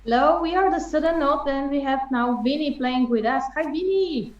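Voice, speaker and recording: A woman's voice: high voice, moderate pace, lo-fi sound, crowd noise. A woman co-hosting an online show over a video call, curious and asking questions. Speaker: show co-host. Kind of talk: casual video call chat. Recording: distant mic with hiss.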